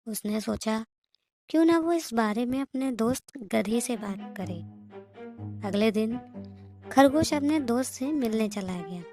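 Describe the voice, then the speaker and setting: A woman's voice: on the high side, darkish tone. A woman narrating a children's moral story in a scripted storytelling style.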